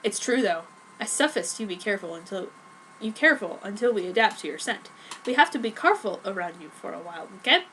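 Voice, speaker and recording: Woman playing an earnest, lowered-voice young male vampire. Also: expressive, fast talker, bright, forceful tone, poor audio. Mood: serious, warning. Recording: noticeable background noise.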